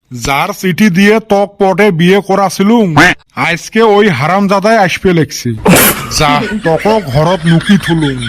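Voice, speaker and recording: A man voicing a grumbling village husband in a comedy cartoon, exasperated, with a western Assam accent. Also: high voice. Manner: exasperated, complaining.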